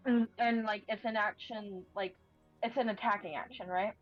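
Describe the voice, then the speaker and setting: A woman's voice: mid-range voice, steady tone, moderate pace, dry recording. Woman player at a game table, unsure and asking stuff, muffled mic, faint music. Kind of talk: casual game-table chat.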